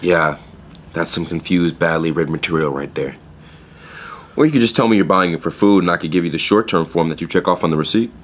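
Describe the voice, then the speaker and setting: A man plays a young pet shop clerk in an audio comedy. His voice is very low, and he delivers everything in a bored, blunt deadpan, as if he could not care less. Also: a little fast, slight background noise, natural voice. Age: young adult. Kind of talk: comedic audio drama acting.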